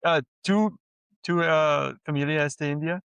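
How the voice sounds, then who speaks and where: A man speaking learner Spanish at an unhurried pace. His voice is clear and clean, and the sound is dry and close. He talks hesitantly, restarts often and fills gaps with quick yeses. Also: on the high side, steady tone, a bit bright, no echo. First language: English. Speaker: podcast co-host. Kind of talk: casual conversation in learner Spanish.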